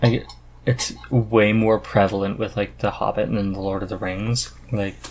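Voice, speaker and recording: A man hosting a podcast in a casual, informal chat, thinking aloud in halting phrases. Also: fairly low pitch, fairly even intonation, a little mellow, a little hiss or hum. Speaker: podcast host. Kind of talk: casual conversational chat about hobbies.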